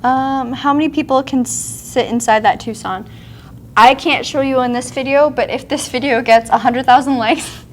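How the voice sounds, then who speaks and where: A woman's voice: high-pitched, fairly even intonation, normal speed, slight background noise. A woman in her twenties co-hosting a casual livestream in a car showroom, reading viewer questions aloud with friendly fillers, on a lapel mic. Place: large car showroom indoors.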